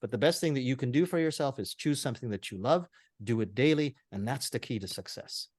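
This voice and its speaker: A man in his fifties, a language teacher, talking as a podcast guest in a relaxed, encouraging way. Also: mid-range voice, fast talker, no room sound, clean studio sound. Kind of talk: casual interview chat.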